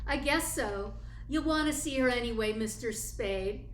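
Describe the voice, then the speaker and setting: A woman plays a breezy secretary in a radio detective play. Her voice is high, with an easy familiar air. It echoes in the room over faint noise. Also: measured pace, close to an everyday voice. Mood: breezy, confiding. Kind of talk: 1940s radio drama acting. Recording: echoing, faintly noisy room.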